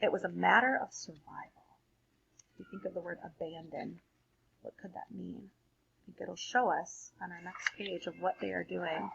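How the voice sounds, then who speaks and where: A woman reading a storybook aloud expressively for children, like a teacher pausing to explain things to young listeners. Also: on the low side, steady tone, vocal fry, fairly bright tone, lo-fi sound, a little hiss or hum.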